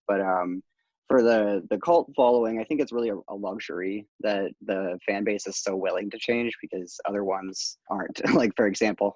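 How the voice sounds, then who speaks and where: A young man with a low, husky voice talks casually and thoughtfully. The video-call sound is dull and dry, as he puts a question to a panel. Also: a little mellow, dry recording. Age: 20s. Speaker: student audience member asking a question. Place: small room at home, indoors.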